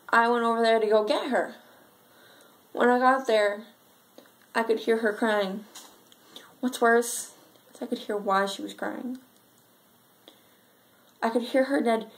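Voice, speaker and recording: A young woman performing an amateur dramatic monologue as a sad, frightened schoolgirl, telling it earnestly with halting pauses. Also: fairly high pitch, gravelly. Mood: troubled recollection, then shaken, fearful. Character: sad, frightened schoolgirl recalling her missing friend.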